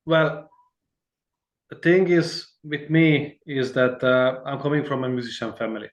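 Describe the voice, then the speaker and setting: A man's voice: mid-range voice, measured pace. Chatty man, roomy sound. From Hungary. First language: Hungarian. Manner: rambling and friendly, many fillers and restarts, laughs easily. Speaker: guitarist, interview guest. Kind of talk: casual interview chat.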